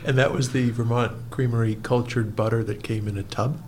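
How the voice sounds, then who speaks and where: A man's voice: mid-range voice, steady tone, brisk, darkish tone. Guy hosting an interview, asks encouraging questions, bit of background hiss. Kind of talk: conversational interview.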